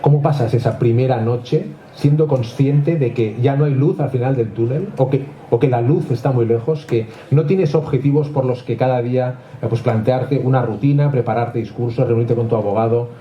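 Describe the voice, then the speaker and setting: A man's voice: mid-range voice, moderate pace, mellow. A man from asking questions conversationally as an interviewer at a live event. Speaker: interviewer, presenter. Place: club social hall, indoors. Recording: muffled, echoey room, faint noise.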